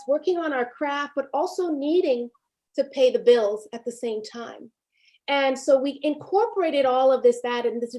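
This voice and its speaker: A woman documentary filmmaker from Haiti, in her fifties, speaks on a video call panel. Her voice sits a little high, and she thinks aloud with frequent ums and restarts. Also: a little mellow. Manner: thoughtful, halting with frequent fillers and restarts. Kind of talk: reflective panel discussion.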